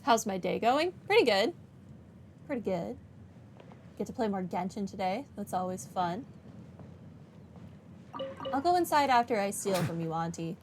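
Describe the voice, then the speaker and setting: A woman's voice: medium pitch, very animated, a little slow, pauses often, a little hiss or hum. A woman game streamer giving casual, wry live commentary on her playthrough. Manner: chatty, wry.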